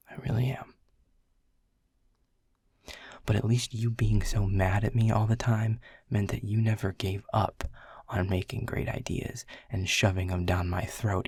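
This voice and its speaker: A man's voice: fairly low pitch, creaky, a little mellow, slightly rough, dry recording, studio quality, exaggerated voice. A man playing a world-weary male middle manager who is blunt and swears, confessing in a half-whisper. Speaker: boss. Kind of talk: audio roleplay acting.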